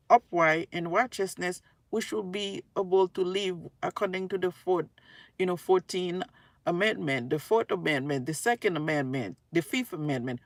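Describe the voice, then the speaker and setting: A woman speaks casually and earnestly to her audience. Her voice has a lot of gravelly fry, and the recording is dry with little room sound. She rambles and circles back to repeat her phrases. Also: fairly low pitch, no room sound. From Haiti. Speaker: tarot reader and spiritual video creator. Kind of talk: casual spoken monologue to viewers.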